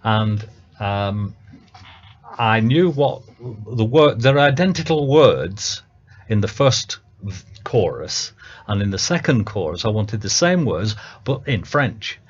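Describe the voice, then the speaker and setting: Halting Northern English man, low voice. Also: sing-song, breathy edge. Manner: hesitant, many ums and restarts. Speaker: songwriter guest. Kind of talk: casual radio chat. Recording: dull lo-fi radio audio.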